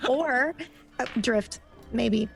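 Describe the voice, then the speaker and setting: A woman playing a tabletop game in character, talking in a quick, persuasive, chatty way. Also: on the high side, gravelly, faint music behind. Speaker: player.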